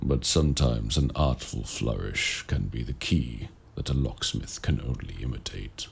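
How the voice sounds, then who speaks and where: A man playing a mysterious, courteous warlock of the woods in an audio roleplay, speaking in formal, archaic, measured phrases with a gravelly voice. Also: extremely low pitch, lively intonation, slight background noise, full character voice. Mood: solicitous, measured. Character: mysterious, courteous forest-dwelling male warlock. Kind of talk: audio roleplay acting, addressing the listener.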